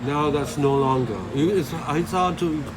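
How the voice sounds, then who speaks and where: A man's voice: on the high side, a loud crowd. A man from Eastern Europe in casual conversation, who murmurs agreement and drops wry quips. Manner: quick back-channel murmurs, wry quips. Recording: noisy background.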